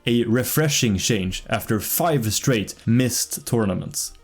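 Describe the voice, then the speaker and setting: A man reading scripted football commentary in a measured way, slipping in dry, wry asides. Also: medium pitch, animated. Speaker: football predictions channel host. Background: faint background music.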